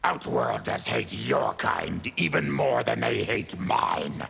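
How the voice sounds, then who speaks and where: An actor playing a fierce, bitter, diseased monster warrior in a fighting game, with a gravelly growl and a forceful, solemn manner. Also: male voice, on the low side, huge pitch swings, slow pace, soft background music, heavily acted. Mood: hostile, contemptuous. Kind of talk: fighting game character dialogue.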